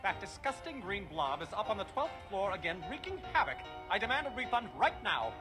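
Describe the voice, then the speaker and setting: Comic game role: demanding, indignant male manager, shrill height and animated pitch. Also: fairly quick. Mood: outraged. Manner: outraged, demanding. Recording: loud music behind the voice.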